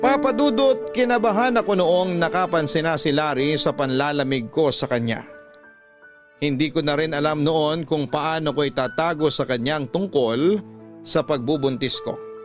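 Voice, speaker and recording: A man voicing a troubled pregnant woman's letter as measured, confessional radio drama narration. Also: on the high side, soft background music, lightly acted. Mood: anxious, confessional. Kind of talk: scripted first-person radio drama narration.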